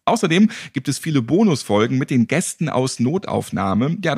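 A man's voice: a little high, slightly rough, dry recording, clean studio sound. A man hosting a podcast in a colloquial, playful and flippant way, chatting casually and asking questions. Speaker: podcast host. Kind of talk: casual, jokey interview questions and podcast announcements.

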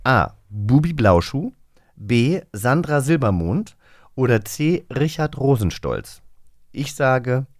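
A man co-hosts a casual podcast. His voice sits at a middle pitch and is recorded very dry. He is playful and jokey, teasing as he chats and reads out quiz questions. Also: lively intonation, takes it slowly, a little mellow, no echo. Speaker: podcast co-host.

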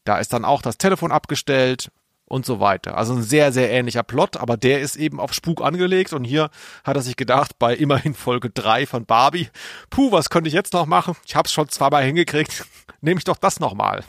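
A man's voice: medium pitch, lively intonation, brisk, a bit bright, dry recording, studio quality. A man co-hosting a podcast in casual chat, rambling a little and correcting himself as he goes. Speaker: podcast co-host. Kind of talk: casual chat about audio dramas.

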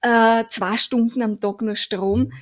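A woman with an Austrian German dialect, a guest on a podcast, telling stories in a lively, chatty way with soft asides. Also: fairly high pitch, slow. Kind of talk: casual interview chat.

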